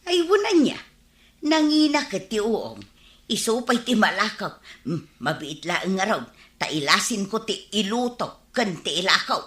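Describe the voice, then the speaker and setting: A woman playing a lively rural housewife in an Ilocano radio comedy drama. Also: mid-range voice, a little slow. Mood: chatty. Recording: roomy, echoing radio drama recording.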